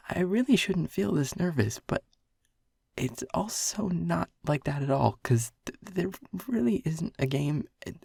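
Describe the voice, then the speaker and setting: A man plays a shy, flustered young male air elemental speaking one-to-one in an audio roleplay. His voice is breathy and husky, with some gravelly fry, at an ordinary male pitch. He stammers and repeats words in an earnest, nervous way, recorded very dry and close. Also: darkish tone, dry recording. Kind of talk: intimate audio roleplay addressed to the listener.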